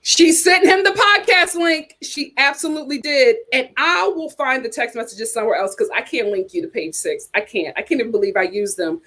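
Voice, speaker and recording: A woman with a fairly high voice talks in an animated, sassy way and drops casual slang. She comments loosely and answers comments from a live chat as she goes. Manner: uses casual slang like "child" and "y'all". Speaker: host.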